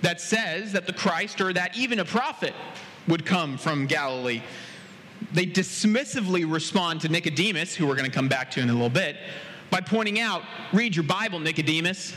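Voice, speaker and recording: A man from America preaching a sermon as pastor, telling stories with quoted dialogue and a pace that speeds up and slows down. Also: sits high, animated, fairly bright tone, slight background noise. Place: church sanctuary. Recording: long hall reverb.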